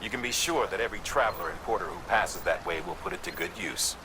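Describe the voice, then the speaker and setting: An actor playing a businesslike, encouraging male mission handler in a video game, giving clear instructions. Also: sits low, fast talker, lightly acted. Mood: encouraging, instructive. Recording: loud background noise.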